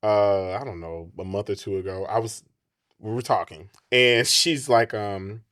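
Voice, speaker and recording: A man's voice: on the low side, steady tone, a little slow, fairly bright tone. Guy hosting a chill interview, hyped and supportive, slangy casual talk. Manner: supportive, enthusiastic, uses slang. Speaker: interview host.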